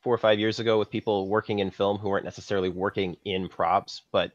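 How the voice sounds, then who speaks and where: A Canadian man talks casually in a fairly low voice, recounting things as an interview guest. The sound is slightly dull and lo-fi. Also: little pitch movement, fast. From Saskatchewan, Canada. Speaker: guest, film props master. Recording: dull lo-fi audio, slightly roomy.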